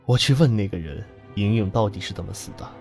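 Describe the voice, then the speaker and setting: Man voicing a brooding, low-voiced grieving farmer. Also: quick pace, darkish tone, soft background music, close to an everyday voice. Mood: bitter. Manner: terse, menacing, insistent. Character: grieving, brooding middle-aged farmer father turned killer.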